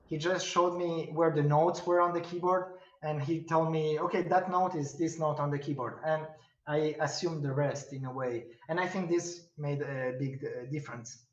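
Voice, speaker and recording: A middle-aged man talks slowly through his answers, pausing and restarting as he thinks. His voice sits a bit higher than most men's. He sounds distant and roomy through a dull, muffled video-call line. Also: steady tone. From Spain. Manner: thoughtful, halting, many fillers and restarts. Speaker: pianist and piano professor.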